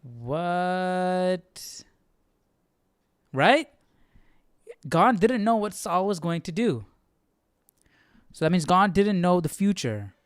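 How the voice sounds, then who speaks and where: A man's voice: sits high, expressive, drawn-out words, long pauses, clean tone, dry recording, studio quality. A man hosting a casual live study stream, reading aloud and questioning things as he thinks them through. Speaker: host of a Bible study stream.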